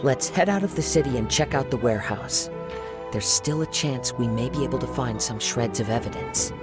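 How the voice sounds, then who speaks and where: An actor playing a calm young wandering samurai, speaking in a measured, formal, contemplative way in a video game. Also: male voice, mid-range voice, loud music behind the voice, natural voice. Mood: resolved.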